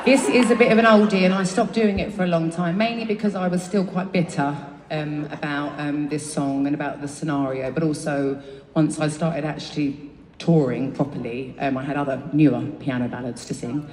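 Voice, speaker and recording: A woman with a London accent, her voice low and full, chats loosely with a concert audience, swearing freely and laughing at herself. She is captured from a distance in a huge arena, so long reverb washes over her words. Crowd noise sits clearly in the background. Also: steady tone, a little fast, darkish tone. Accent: London English. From England. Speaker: singer on stage. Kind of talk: chatty stage banter between songs. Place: large concert venue. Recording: live concert audio, echoey arena from far off with crowd.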